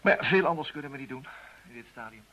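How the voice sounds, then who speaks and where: An actor playing a methodical private detective in a radio play, measured and businesslike with a wry edge. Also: male voice, medium pitch, lively intonation, sharp tone. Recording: dull lo-fi old radio sound.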